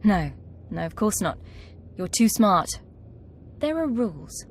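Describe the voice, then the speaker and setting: A woman's voice: medium pitch, sing-song, takes it slowly, slight background noise. A woman playing a scheming young acolyte ringleader in a video game, confident, wry and coaxing. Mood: persuasive. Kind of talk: video game cutscene acting.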